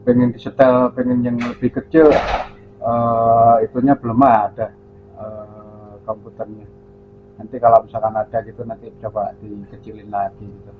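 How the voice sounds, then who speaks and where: A man's voice: medium pitch, little pitch movement, moderate pace. A man chatting casually and a little hesitantly as an amateur radio operator on a two-way radio. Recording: narrow phone-like sound, faint hum.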